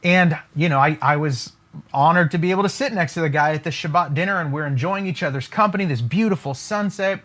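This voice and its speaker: A man's voice: fairly high pitch, a little fast, fairly bright tone. A man giving a motivational business training at a whiteboard, emphatic and talking straight to his viewers, often checking in with "right?" and "okay?". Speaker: business coach. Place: room with a whiteboard.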